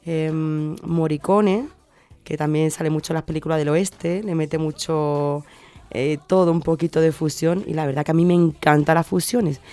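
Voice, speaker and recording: A woman's voice: deep voice, steady tone, moderate pace, dark tone, faint music behind. A woman from Cádiz with an Andalusian accent, chatting casually as an interview guest, effusive and often searching for her words. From Cádiz, southern Spain. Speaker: radio guest, short-film maker.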